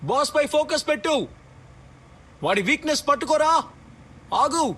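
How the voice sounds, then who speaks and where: A woman's voice: high voice, lively intonation, a little slow, a bit bright. A woman voicing an urgent young soldier teammate in a battle game, giving short, clipped commands. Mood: urgent, commanding. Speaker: game character voice pack. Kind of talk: short shouted tactical game callouts.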